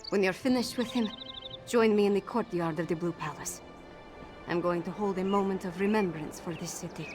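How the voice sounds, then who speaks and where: A woman voicing a resolute young princess in a video game, speaking in a firm, earnest and measured way over game music. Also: lowish voice, lightly acted. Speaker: princess of the city.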